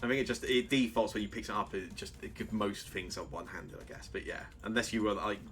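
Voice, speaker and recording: A man's voice: lowish voice, fairly even intonation, fast, fairly bright tone. A man acting as game master in casual tabletop play, talking through rules and options in an accommodating way.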